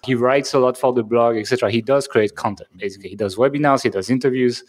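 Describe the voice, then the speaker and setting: A man's voice: mid-range voice, fast talker. A man whose first language is French, co-hosting a podcast and talking casually with plenty of fillers and restarts. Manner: conversational, many fillers and restarts. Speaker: podcast co-host.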